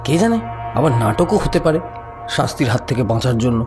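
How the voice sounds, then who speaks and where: A man playing a wry, suspicious investigator in an audio horror story, speaking in a deliberate, speculative way. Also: mid-range voice, big pitch swings, lightly acted. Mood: suspicious, certain. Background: faint music.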